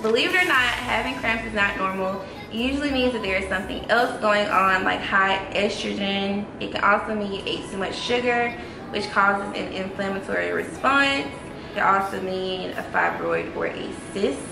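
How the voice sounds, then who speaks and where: A woman's voice: mid-range voice, expressive, normal speed, gravelly, noisy background. A young woman hosting a casual vlog, chatting through facts with light giggles. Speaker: vlog host. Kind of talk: casual chat. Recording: roomy echo with music behind.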